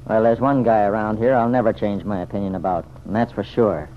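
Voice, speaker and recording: A man's voice: fairly low pitch, fairly even intonation, a little fast, close to an everyday voice. An actor playing a plain-spoken, good-hearted American regular guy with a folksy, slangy manner in an old-time radio serial. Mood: disgusted. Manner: folksy, slangy, hesitant at times. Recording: dull, noisy vintage radio recording.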